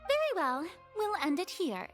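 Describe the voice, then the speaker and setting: High, pompous, theatrical young goddess. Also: female voice, huge pitch swings, moderate pace, faint music behind, heavily acted. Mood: proud. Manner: grandiose, pompous, falters when pressed. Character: theatrical self-important young female god, easily flustered. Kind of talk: anime-style game voice acting.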